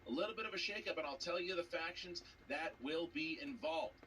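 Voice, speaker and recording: A man with a high voice delivers emphatic league announcements like a show host. His tone is bright and forceful, and he repeats phrases to drive them home. The mic sounds distant. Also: fairly quick. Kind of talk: energetic scripted announcement to fans. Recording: distant mic with background noise.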